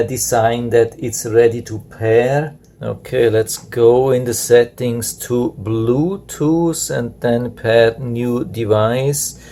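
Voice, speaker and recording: A man gives a casual, hands-on product review in a mid-pitched voice. His delivery is fairly even and unadorned as he explains each step plainly. Some background noise sits behind his voice.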